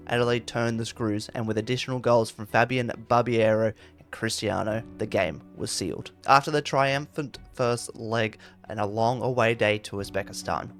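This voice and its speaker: A man reading scripted narration for a football history video. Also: lowish voice.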